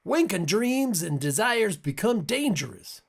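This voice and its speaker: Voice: mid-range voice, sing-song, a little slow, breathy edge. A lesson presenter reading scripted discussion questions aloud.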